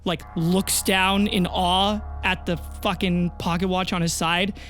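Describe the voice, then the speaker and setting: A man's voice: high voice, little pitch movement, measured pace, a bit bright. A man working as game master, narrating a role-playing scene dramatically in an informal, sweary way. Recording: music under the voice.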